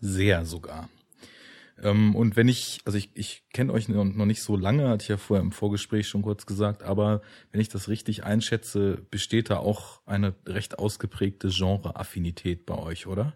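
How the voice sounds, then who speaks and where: Husky, low-voiced male podcast host. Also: fairly even intonation, normal speed, darkish tone. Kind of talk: casual film podcast talk.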